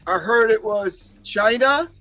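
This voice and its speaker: Man, non-native english speaker, high voice, bright tone, emphatic livestream host, faint music behind. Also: slow. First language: not English. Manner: preachy, emphatic, talks back to chat. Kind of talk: casual livestream commentary.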